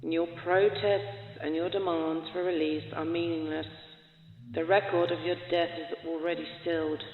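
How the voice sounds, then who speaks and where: A man's voice: a little high, little pitch movement, normal speed. A man playing a cold, clinical prison experiment overseer in an audio drama, formal, detached and menacing, through an echoing announcement filter. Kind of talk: scripted audio drama monologue. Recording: muffled phone-like sound, echoey long reverb.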